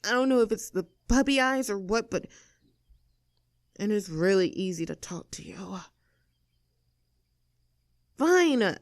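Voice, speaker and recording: A woman's voice: mid-range voice, lively intonation, takes it slowly, pauses often, dry recording, clean studio sound. A woman playing a snooty, abrasive young mean girl in an audio roleplay drama, sarcastic and indignant as she talks straight at the listener. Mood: wary, grudging.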